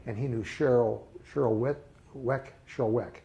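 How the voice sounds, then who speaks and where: An older man reminiscing in a casual interview, speaking haltingly with many fillers and restarts. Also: medium pitch, fairly even intonation, vocal fry, warm tone, muffled recording. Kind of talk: recalled personal memories in a casual interview.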